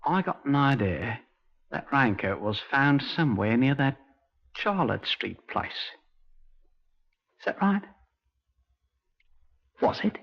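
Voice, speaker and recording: A man's voice: mid-range voice, very animated, takes it slowly, pauses often, full character voice. A man playing a cocky, wary young crime suspect with slangy, insolent talk in a 1950s radio drama. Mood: defiant, bargaining. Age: young adult. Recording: muffled 1950s radio recording.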